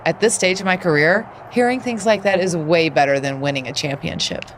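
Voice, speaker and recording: A woman's voice: on the low side, brisk, a little hiss or hum, close to an everyday voice. A woman playing a gracious, supportive veteran female wrestler with a wry touch in a video game cutscene. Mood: warm, amused.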